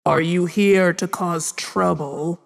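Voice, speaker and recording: A man's voice: high voice, steady tone, takes it slowly, gravelly, pitched above the performer's own voice, exaggerated voice. A man voicing a stately, stern boar goddess queen with a terse, regal manner, under long hall-like reverb.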